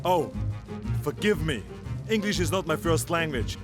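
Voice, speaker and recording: An actor playing a gruff foreign drillmaster general with a brusque, commanding way of talking. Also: male voice, on the high side, animated, a little slow. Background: music score.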